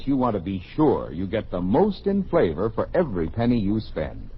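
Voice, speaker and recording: An American man reading a scripted sponsor pitch in an emphatic vintage radio announcing style. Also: breathy edge. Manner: emphatic sales delivery. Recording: muffled old radio broadcast.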